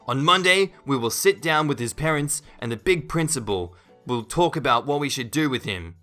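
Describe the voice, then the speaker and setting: Man as stern male school monitor, accusing, commanding, curt, forceful, animated pitch, storytime character. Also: moderate pace, soft background music. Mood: insistent.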